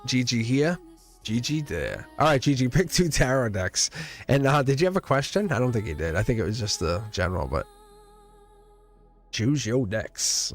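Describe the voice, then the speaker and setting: A man's voice: mid-range voice, big pitch swings, moderate pace, soft background music. Chatty, laughing male tarot streamer. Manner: chatty, laughs often, teasing. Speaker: tarot reader. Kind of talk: live-stream tarot reading.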